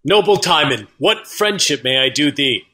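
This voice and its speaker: An actor playing a warlike captain in a Shakespearean audio drama, speaking in a formal, urgent, commanding manner. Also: male voice, on the high side, expressive, moderate pace, bright, forceful tone. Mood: urgent, concerned. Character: warlike soldier captain, direct and questioning.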